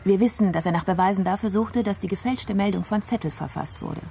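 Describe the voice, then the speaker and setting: An actress plays a female investigator in a radio crime drama. Her delivery is measured and methodical, at a middling pitch with a gravelly fry. Faint noise sits behind the voice. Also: mellow, lightly acted. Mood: measured, certain. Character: methodical female investigator explaining how a murder case unfolded. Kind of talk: radio play acting.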